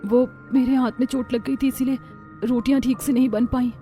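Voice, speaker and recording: Woman playing a soft-spoken, submissive young mother. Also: high-pitched, fairly even intonation, fairly quick, lightly acted. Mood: timid, apologetic. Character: meek, long-suffering mother and daughter-in-law. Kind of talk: cartoon story voice acting. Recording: background music under voice.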